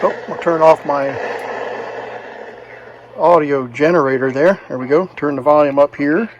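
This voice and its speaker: A man's voice: on the high side, moderate pace, muffled recording, background noise. A man casually demonstrating and explaining a repair, plainspoken and step by step, as a hobbyist host. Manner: plainspoken, talks through each step.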